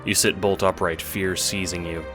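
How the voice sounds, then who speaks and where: A man's voice: low voice, flat delivery, moderate pace, over music. A man giving scripted narration for an audio book styled as a drama. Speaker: narrator, writer and producer.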